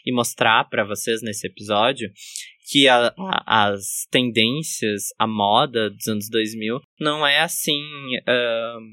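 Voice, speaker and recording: A man hosting a casual chat, talkative with quick asides and easy laughter. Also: medium pitch, sharp tone, dry recording. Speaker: podcast host.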